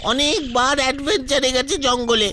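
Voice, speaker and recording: Woman as chatty, very high-voiced cartoon schoolkid. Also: a little fast, lightly acted. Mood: persuasive. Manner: talkative, exclamatory. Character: chatty, pushy young schoolchild. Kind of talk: cartoon dub acting. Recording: music behind.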